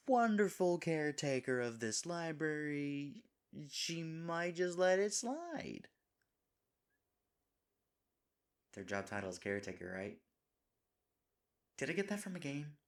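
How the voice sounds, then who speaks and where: A man's voice: fairly high pitch, sing-song, unhurried. A man playing a devoted, goofy boyfriend nervous about proposing, rambling and chiding himself earnestly in a scripted romantic audio roleplay. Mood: flustered, sweet-talking.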